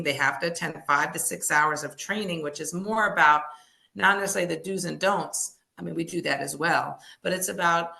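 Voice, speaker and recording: Middle-aged woman, gravelly but bright, talks earnest and explains a lot, lowish voice. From United States. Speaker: language services founder. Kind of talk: interview answers.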